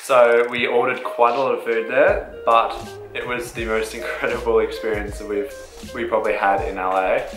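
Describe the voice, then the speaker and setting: Young man, vlog co-host, relaxed and eager, faint music underneath. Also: mid-range voice, normal speed, reverberant, low-quality recording. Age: young adult. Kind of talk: casual chat. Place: bright indoor room, seated.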